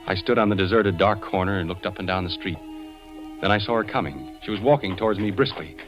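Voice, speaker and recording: Man acting suspicious husband, American, 1940s radio thriller, low pitch, hesitant, brooding, loud musical underscore. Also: quick pace, faint music behind, natural voice. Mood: hesitant, doubtful. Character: uneasy husband, insurance investigator, suspicious of his wife. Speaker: lead actor and narrator. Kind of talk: 1940s radio suspense acting, first-person narration. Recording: muffled old radio recording, musical underscore.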